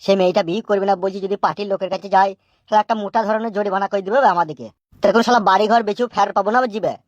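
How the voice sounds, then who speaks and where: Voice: mid-range voice, very fast, dry recording, highs cut off, natural voice. A performer voicing an anxious, nagging old father in a comic cartoon, grumbling and scolding. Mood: anxious, advising. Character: exasperated, foul-mouthed old village father, anxious and nagging.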